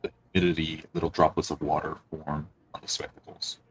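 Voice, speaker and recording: A man narrating the scene as game master of a tabletop role-playing game, slowly and descriptively, with pauses. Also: very deep voice, completely flat delivery, a little hiss or hum.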